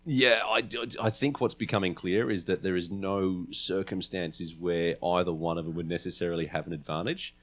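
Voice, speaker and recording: A man co-hosting a podcast, casually debating science fiction in a measured, deliberating way. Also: low voice, steady tone, fairly bright tone. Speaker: podcast co-host.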